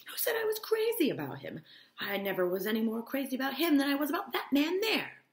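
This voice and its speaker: A woman's voice: sits high, big pitch swings, fairly quick, voice pushed up. A woman voicing a brash, scornful married woman in a novel read-aloud, with an emphatic, indignant way of talking. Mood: incredulous, indignant. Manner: emphatic, incredulous, declaring.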